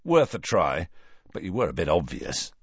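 A man's voice: low voice, very animated, normal speed, creaky, slightly rough, dry recording, muffled recording, voice pushed down, heavily acted. Man voicing a casual, puzzled teenage boy. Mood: teasing. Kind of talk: audiobook character voice.

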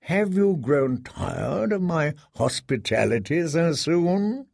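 A man voicing a cruel young lord who speaks in a slow, mocking way. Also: fairly high pitch, sing-song, creaky, a little mellow, no echo, studio quality, voice pushed up, heavily acted.

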